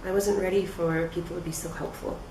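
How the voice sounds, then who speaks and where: A woman from the United States, an English teacher giving measured interview answers. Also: lowish voice, flat delivery, gravelly. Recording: echoey, distant, noisy.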